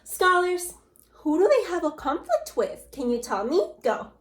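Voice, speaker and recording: High-voiced woman teacher. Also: huge pitch swings, unhurried, roomy sound. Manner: encouraging, addresses class as scholars. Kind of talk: class read-aloud and lesson.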